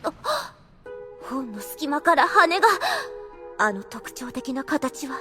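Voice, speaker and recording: A woman's voice: high voice, lively intonation, slow pace, music in the background. A woman playing a catty, vain young noblewoman who talks in affected archaic courtesan speech in an anime audio drama. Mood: curious, puzzled.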